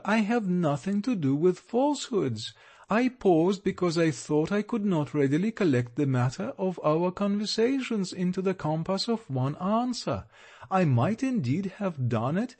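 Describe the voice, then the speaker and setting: Brief: earnest, pleading young woman in a storybook, read by a male narrator, pitched up high. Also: big pitch swings, measured pace, a little mellow, exaggerated voice. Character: young woman, earnest and gentle but firm.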